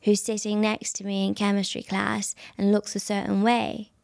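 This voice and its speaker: Brief: thirties woman, soft gravelly voice, dry close sound, chatty animated storyteller. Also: mid-range voice, moderate pace, dry recording. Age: 30s. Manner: animated storyteller, frequent fillers and laughs. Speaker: guest. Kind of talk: casual interview chat.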